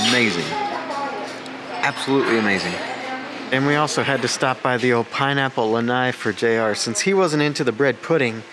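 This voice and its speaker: A man's voice: medium pitch, background noise. A man in his forties, host of a food vlog, chatting eagerly as he eats at a restaurant with the camera held close. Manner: enthusiastic, chatty, narrates while eating. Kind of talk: casual food vlog chat. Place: indoor restaurant, then outdoor beach. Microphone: handheld camera held close.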